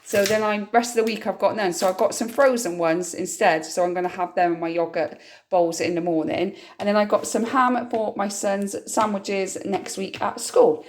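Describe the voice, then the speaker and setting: Croaky woman chatting casually. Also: mid-range voice, fairly even intonation, normal speed, a little hiss or hum. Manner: chatty, rambling and homely. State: slightly hoarse, croaky from a cough. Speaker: vlogger. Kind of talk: casual grocery haul vlog.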